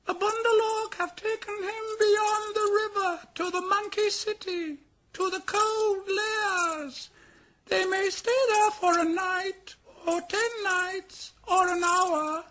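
A kite bird calling down from the sky, shrill and piercing, very high and bright. He speaks slowly, drawing out each word in a storybook reading. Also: heavily acted, rough recording. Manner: shrill piping cries from above. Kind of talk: audiobook character voice.

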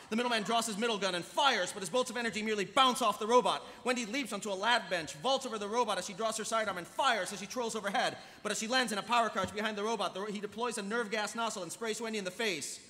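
A man narrates stage directions with dramatic emphasis, racing along at great speed. His voice is high and forceful. It rings in a reverberant convention hall. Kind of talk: animated script narration. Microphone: podium microphone. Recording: echoey hall over podium microphone.

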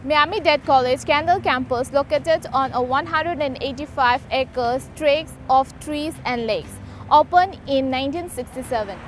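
A young woman from Manipur in India reads a campus report slowly and carefully. Her voice is high. She is outdoors, with faint background noise. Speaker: student reporter. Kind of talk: scripted narration.

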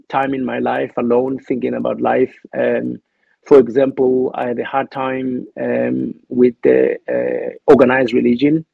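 A man's voice: medium pitch, vocal fry, husky, dry recording, lo-fi sound. A man with a South African accent, speaking as an interview guest in a thoughtful, hesitant way with many restarts. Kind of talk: reflective interview talk.

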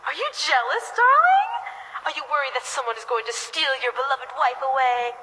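A doting, unhinged woman from an anime-style video game. Her voice is very high and bright, cooing sugary affection with menace underneath. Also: very animated, brisk, a little hiss or hum, full character voice, low-quality recording. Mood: playful, teasing. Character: sweetly deranged, lovestruck female kidnapper doting on her captive.

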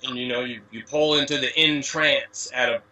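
Man from the USA, interview guest, rambling casual delivery, bright timbre, set back from the mic. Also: mid-range voice, steady tone, moderate pace. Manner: rambling, many "you know" fillers, wordplay explanations. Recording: distant from mic.

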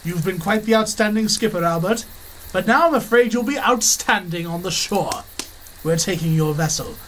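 A man's voice: high-pitched, normal speed, a bit bright, a little hiss or hum. A man playing an arrogant, scheming explorer, speaking in a pompous, boastful, theatrical 1930s radio-serial manner.

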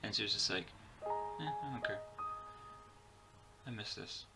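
A man in his twenties giving casual reaction commentary in a halting, fragmented way. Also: on the low side, gravelly, loud music behind the voice. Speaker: reaction video host. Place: bedroom, indoors.

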